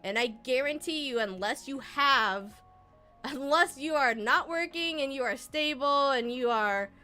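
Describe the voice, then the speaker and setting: A woman's voice: sits high, animated, measured pace, bright, forceful tone, clean tone, faint music behind. A young adult woman streamer, Vietnamese by first language, giving earnest, rambling advice to her chat with laughs and restarts.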